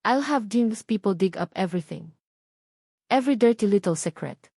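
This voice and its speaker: A woman's voice: mid-range voice, expressive, moderate pace, vocal fry, a little mellow, husky, no echo, clean studio sound. Woman acting stern, exasperated campaign manager. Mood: eager.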